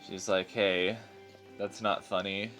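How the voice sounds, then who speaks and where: A young man in his twenties chatting casually over a game he is playing. His voice sits low, and he rambles in a self-deprecating way. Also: flat delivery, slow, a bit bright, soft background music. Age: 20s. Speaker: let's play host. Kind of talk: casual gameplay commentary.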